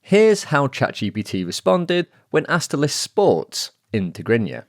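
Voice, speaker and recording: A man hosts a science podcast and narrates a scripted segment. His voice sits mid-range but moves a lot in pitch, so the telling sounds animated. It is recorded dry and close on a studio mic, with faint music behind. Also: unhurried, no room sound. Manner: lively, expressive delivery with wide pitch swings. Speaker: podcast host and reporter.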